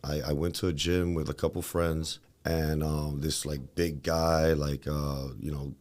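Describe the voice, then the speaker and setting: Podcast guest, man from Miami, very low bass voice, loose rambling chat. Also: little pitch movement, a little slow, a little mellow. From Miami, Florida, USA. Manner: loose, rambling, many fillers and restarts. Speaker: guest, fitness trainer. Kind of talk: casual interview talk.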